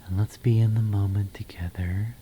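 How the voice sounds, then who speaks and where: A man guiding a meditation in ASMR style, speaking softly and breathily, half in a whisper, with a soothing, gentle manner and pauses between phrases. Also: fairly low pitch, fairly even intonation, slight background noise, low-quality recording.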